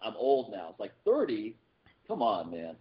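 A man co-hosting a casual podcast chat, animated and quick, often repeating words as he starts a thought. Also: on the low side, highs cut off. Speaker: podcast co-host.

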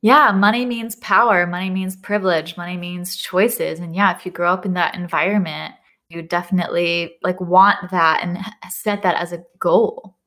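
A woman's voice: lowish voice, steady tone, moderate pace, fairly bright tone. A woman hosting a podcast interview, introducing her guest and responding with affirming, enthusiastic remarks. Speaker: podcast host. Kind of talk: conversational interview.

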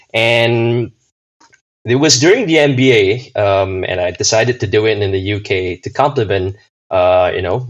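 A man from Singapore with a fairly low voice answers interview questions in a loose, chatty way, full of "you know"s and restarts. The sound is dull and lo-fi. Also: fairly bright tone. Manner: rambling, many fillers and restarts. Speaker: podcast guest.

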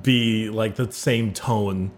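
A man's voice: fairly low pitch, fairly even intonation, takes it slowly. A man hosting a podcast, opening the show and chatting casually with crude jokes. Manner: crude, joking. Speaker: podcast host. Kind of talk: casual chat.

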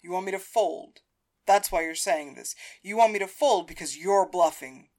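A woman voicing a stubborn, easily riled man in an audiobook story, arguing loudly over a card game. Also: lowish voice, moderate pace, natural voice. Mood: accusing. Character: hot-headed, stubborn male poker player, easily riled.

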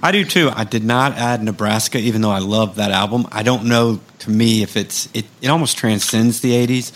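Guy co-hosting a music podcast, lowish voice, laid back chatty, restarts a lot. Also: brisk. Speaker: podcast co-host. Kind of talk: casual chat.

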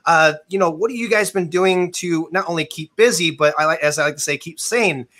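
A man's voice: a little high, a little fast, pushed and bright. A man hosting an interview, rambling enthusiastically with many fillers. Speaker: interviewer, host. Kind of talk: casual interview chat.

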